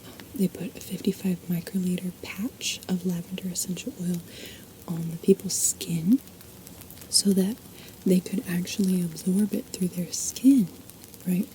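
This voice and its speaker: A woman whispering softly in an ASMR video, chatting and wandering off on tangents as she explains things. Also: on the low side, steady tone, a little slow, slight background noise, low-quality recording. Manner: soft unvoiced whisper, chatty and digressive. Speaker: ASMR host.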